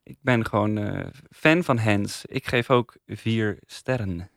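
A man's voice: fairly low pitch, slow, creaky, fairly bright tone, dry recording. A man in casual review chat as a podcast panelist, on a close studio microphone.

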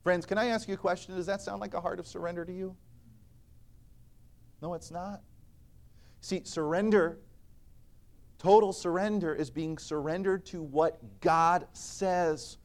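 Man, preacher, fairly high-pitched, earnest emphatic sermon, loud-soft swings, old-style scripture recitation, church. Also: fairly even intonation, measured pace, long pauses.

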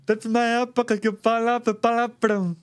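A man's voice: extremely high pitch, level tone, fairly quick, smooth. A man from Rio de Janeiro who lip-reads, speaking with careful, uneven pronunciation learned artificially, as a guest in a casual interview.

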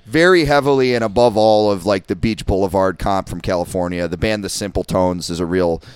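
A man's voice: sits low, a little fast, smooth, dry recording. A man co-hosting a punk podcast in casual chat, swearing often and rambling about local bands. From Rochester, New York. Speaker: podcast co-host.

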